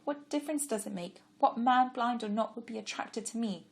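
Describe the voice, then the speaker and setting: Woman playing a shy young woman, modest and soft, a bit higher pitch. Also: fairly quick, creaky. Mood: tearful.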